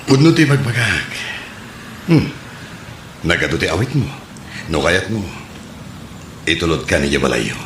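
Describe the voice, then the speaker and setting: Flirtatious boss for audio drama: male actor playing very deep, slow, coaxing man, recorded in a noisy, echoing room. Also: very animated, a bit bright, exaggerated voice. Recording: roomy, clearly noisy recording.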